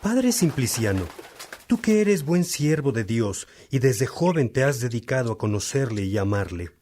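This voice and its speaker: A man's voice: medium pitch, sing-song, normal speed, background noise, natural voice. A man acting a devout, earnest churchman in a religious radio drama, speaking with solemn, reflective, formal phrasing. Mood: troubled, pleading.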